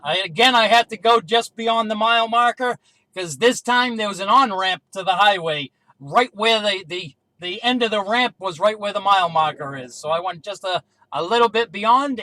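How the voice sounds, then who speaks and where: A man's voice: sits high, normal speed, pushed and bright, poor audio. A man talking casually as a CB radio operator, making brief two-way range-check calls.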